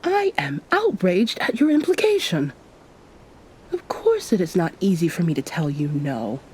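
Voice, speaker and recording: A woman playing an affectionate, teasing yet commanding succubus pirate captain in an intimate ASMR roleplay, softly spoken and often near a whisper, with formal old-fashioned phrasing. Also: fairly low pitch, very animated, a little slow, slightly rough, exaggerated voice. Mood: playfully indignant.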